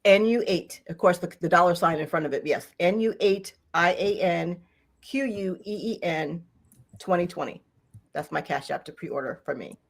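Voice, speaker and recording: A woman's voice: on the low side, moderate pace, gravelly. A woman in her sixties, a guest author, chatting in a friendly, enthusiastic way on a live stream. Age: 60s. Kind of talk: casual live-stream chat.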